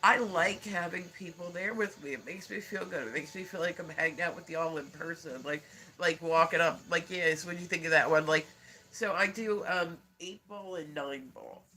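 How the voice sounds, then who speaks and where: A man's voice: fairly high pitch, normal speed, sharp tone, low-quality recording. Congested, nasal man chatting on a livestream. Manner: rambling, many filler words, frequent laughter. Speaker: live streamer. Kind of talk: casual livestream chat.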